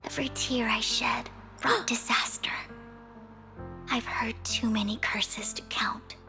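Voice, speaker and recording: An actress playing an earnest, devoted young woman of misfortune in an anime-style game, her voice soft and sometimes breathy. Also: a little high, fairly even intonation, unhurried, whispered, full character voice. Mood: sorrowful. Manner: earnest, confiding. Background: game music.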